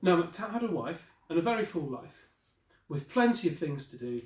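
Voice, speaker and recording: A man in his forties reads a children's picture book aloud. His voice sits a little high for a man, and he tells the tale expressively. He is in a small room with plenty of echo around the voice. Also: moderate pace. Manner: expressive storyteller with brief asides to listeners. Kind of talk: storybook read-aloud. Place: small wallpapered room indoors. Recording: roomy, echoey indoor recording.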